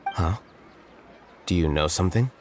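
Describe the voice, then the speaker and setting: A man playing a cool, rational scholar in authority in a video game, measured and detached. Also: low voice, slight background noise. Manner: measured, detached, matter-of-fact.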